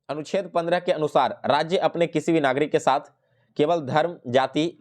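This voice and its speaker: A man speaks in a slightly high voice. He explains material like an exam-prep teacher, talking straight to his students and dropping English terms into his sentences. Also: a little mellow. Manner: instructional, direct address to viewers, English terms mixed in.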